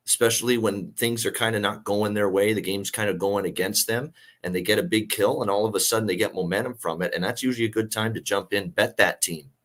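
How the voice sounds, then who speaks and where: A man with a gravelly voice worn from a night of singing, hosting a sports betting show in casual, rambling talk. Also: fairly low pitch, a bit bright.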